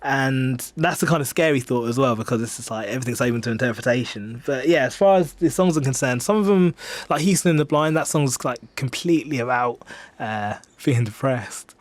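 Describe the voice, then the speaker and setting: A man in his twenties with an English accent talks in a casual, self-deprecating way, like a musician being interviewed. His pitch is average and he often hesitates and rambles. The sound is dry, with little room in it. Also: brisk, no echo. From England. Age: 20s. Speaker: singer-songwriter being interviewed. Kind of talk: casual radio interview chat. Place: radio studio.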